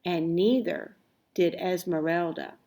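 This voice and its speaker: A woman reading a children's story aloud expressively, with deliberate phrasing and emphatic stressed words. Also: on the low side, mellow. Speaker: storybook reader.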